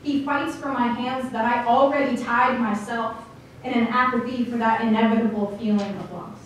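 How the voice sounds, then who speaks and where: A woman performing slam poetry, speaking deliberately with dramatic phrasing and pauses. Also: fairly high pitch, steady tone, distant mic. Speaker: slam poet. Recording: echoey room from afar, faint noise.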